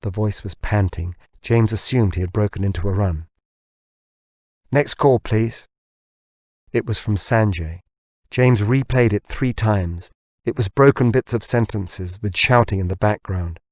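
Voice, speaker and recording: A man's voice: lowish voice, unhurried, a little mellow, no room sound, clean studio sound. A man reading scripted fiction as an audiobook narrator.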